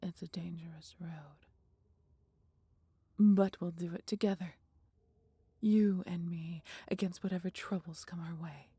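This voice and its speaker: A woman voicing a gentle, wise dragon in an intimate audio roleplay, with a breathy, half-whispered voice and measured, formal phrasing. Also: lowish voice, fairly even intonation, no echo. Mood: resolute, caring. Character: gentle, wise female dragon, fond of her human. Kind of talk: slow, intimate roleplay acting addressed to listener.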